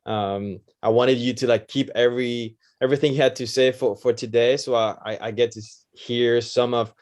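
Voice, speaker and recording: A man hosts a podcast and puts questions to his guest at a mid pitch. He trips over restarts and fills the gaps with fillers. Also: steady tone, normal speed, a little mellow. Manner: hesitant, many restarts and fillers. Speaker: podcast host. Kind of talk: podcast interview.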